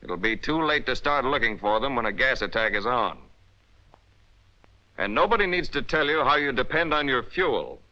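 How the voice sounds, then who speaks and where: A man's voice: mid-range voice, lively intonation, normal speed, sharp tone. An American man narrating a 1940s military instructional film in an emphatic, instructional manner with clipped directives. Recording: dull, band-limited 1940s film soundtrack.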